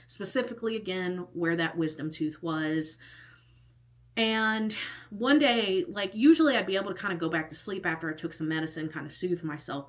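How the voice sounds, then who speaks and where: Chatty woman in her thirties, slightly low. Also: fairly bright tone. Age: 30s. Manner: chatty and rambling, heavy use of "like", with whispered asides. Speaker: storytime vlogger. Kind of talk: casual storytime chat.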